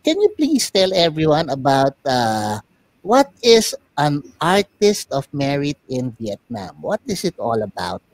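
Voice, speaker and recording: A man voicing a boy puppet who hosts a talk show, interviewing in a courteous, deliberate, conversational way. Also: fairly high pitch, very animated, creaky, dry recording, heavily acted. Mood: courteous, curious.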